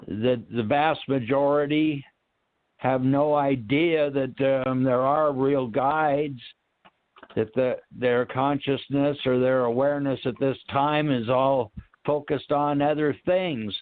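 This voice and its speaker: A man speaking in a halting, reflective way, searching for words and trailing off as he discusses spiritual ideas, over a narrow phone-like connection. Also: mid-range voice, fairly even intonation, dry recording. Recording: narrow, tinny phone-line sound.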